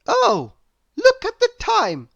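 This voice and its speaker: A woman's voice: very high-pitched, huge pitch swings, takes it slowly, gravelly, a bit bright, dull audio, pitched above the performer's own voice, exaggerated voice. A woman voicing a flustered, accident-prone little lady from a children's storybook, with exclamatory, sing-song delivery.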